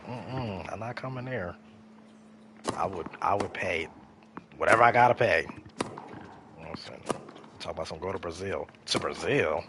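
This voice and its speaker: A man's voice: low-pitched, expressive, normal speed, pauses often, noisy background. A man in his thirties chatting casually and rambling, repeating himself as he streams a game. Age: 30s. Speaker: gaming streamer. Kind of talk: casual chat while playing a game.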